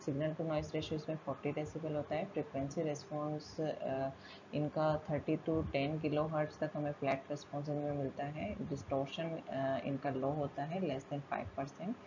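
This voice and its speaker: A woman with an Indian accent giving an explanatory technical lecture as a college teacher, in a methodical, halting way. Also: low voice. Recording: muffled lo-fi audio with loud background noise.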